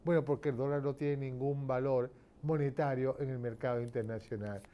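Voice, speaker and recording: A man's voice: medium pitch, fairly even intonation, moderate pace, warm tone, clean tone. A man with a Venezuelan accent giving an informal explanatory lecture, emphatic and didactic, posing rhetorical questions and checking in with listeners. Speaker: political commentator giving a lecture.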